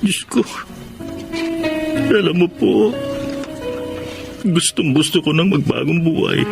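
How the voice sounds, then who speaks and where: An actor with a Filipino accent playing a gentle, remorseful male ex-convict in an emotive radio drama. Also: fairly high pitch, creaky, heavily acted. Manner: earnest, emotive, talking to himself. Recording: dramatic music behind the voice.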